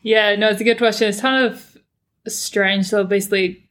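A woman's voice: medium pitch, fairly even intonation, normal speed, fairly bright tone. A young woman from California, a PhD student, chatting casually as a podcast guest, rambling with many fillers and restarts. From California, United States. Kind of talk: casual interview chat.

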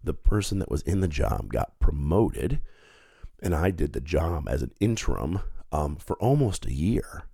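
Stuffy-nosed male podcast host, earnest and reflective, very low voice, mellow tone. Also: big pitch swings, a little slow, creaky, breathy edge, no room sound, clean studio sound. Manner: earnest, reflective, conversational with filler words. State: stuffed up with a head cold. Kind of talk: solo podcast monologue.

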